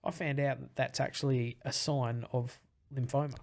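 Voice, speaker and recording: Husky man with a gravelly edge. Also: mid-range voice, steady tone, moderate pace, darkish tone. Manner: rambling, with many fillers and restarts. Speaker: podcast guest. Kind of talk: casual chat.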